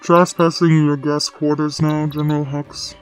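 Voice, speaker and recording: A man voicing a proud, aloof dark warrior in a sci-fi comic dub, sardonic and sneering in his delivery. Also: fairly high pitch, level tone, normal speed, smooth, soft background music, close to an everyday voice, rough recording. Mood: mocking, contemptuous. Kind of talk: dramatic voice acting in a comic dub.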